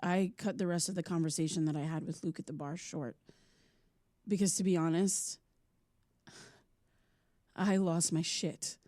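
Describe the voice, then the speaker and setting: Haunted woman podcaster, low mellow voice, searching and hesitant, confessional audio drama, very dry close sound. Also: dry recording. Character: troubled, haunted woman podcaster digging into her past.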